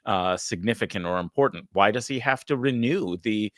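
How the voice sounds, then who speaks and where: A man's voice: fairly low pitch, fairly bright tone, no echo. Guy hosting a panel stream, lots of uh and you know, dry close sound. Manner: halting, many fillers and restarts. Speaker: panel moderator. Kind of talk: livestream panel discussion.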